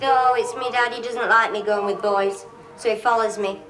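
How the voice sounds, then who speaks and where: An actress playing a blunt, sharp-tongued young working-class woman. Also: a little high, fairly even intonation, moderate pace, faint music behind, lightly acted. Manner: blunt, dry wisecracks. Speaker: date. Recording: hissy old TV sitcom, roomy studio.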